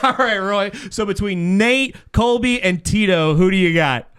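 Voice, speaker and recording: A brash man hosting in a hyped game-show style, swearing freely and often breaking into shouts. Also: sits high, normal speed, smooth. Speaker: podcast host.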